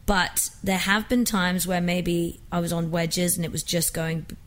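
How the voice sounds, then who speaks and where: A woman's voice: on the low side, steady tone, a little slow. A young woman from England talks in a loose, conversational way, her English accent worn toward American. She is chatty and self-deprecating, and she often trips over and restarts her sentences. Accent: mixed English and American. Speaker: singer guest. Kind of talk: casual interview chat.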